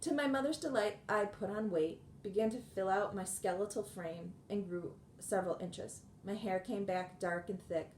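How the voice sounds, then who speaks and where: A woman reading a memoir aloud in a measured, earnest way, with occasional restarts. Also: medium pitch, a little mellow, roomy sound, a little hiss or hum. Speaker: audiobook reader.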